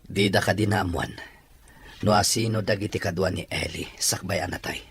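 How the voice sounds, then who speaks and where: A man's voice: sits low, moderate pace, a bit bright, husky, full character voice. A man playing a troubled businessman searching for his child in a serial drama. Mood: anxious, weary. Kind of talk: Ilocano radio-style serial drama acting.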